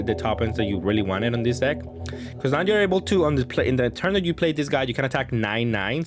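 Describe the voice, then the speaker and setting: Casual man thinking aloud over music. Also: mid-range voice. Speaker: card game content creator and host. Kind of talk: casual unscripted gameplay commentary.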